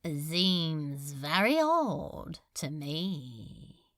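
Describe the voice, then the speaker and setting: A woman's voice: low voice, big pitch swings, drawn-out words, fairly bright tone, no room sound, studio quality, pitched below the performer's own voice, heavily acted. A woman voicing a cruel demon lord in an audiobook, mock-polite and languid, with drawling, archaic menace. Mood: languid mock innocence. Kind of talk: audiobook character acting.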